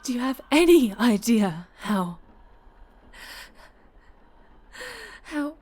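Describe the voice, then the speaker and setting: A woman playing a possessive, obsessive winged dark-angel superhero, sinister yet doting, in an intimate, breathy ASMR roleplay that often slips toward whispering. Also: sits high, exaggerated voice. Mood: hurt, furious.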